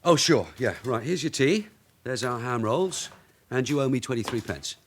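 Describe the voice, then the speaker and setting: A man acting in a radio drama as a shrewd veteran police sergeant with a London accent and a dry, brisk manner. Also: medium pitch, lively intonation, a little hiss or hum, close to an everyday voice. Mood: dry, casual.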